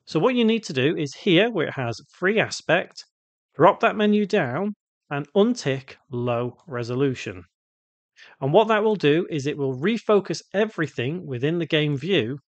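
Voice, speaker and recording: A man hosting a software tutorial, explaining each step in an instructional voice-over. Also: mid-range voice, dry recording. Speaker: tutorial host.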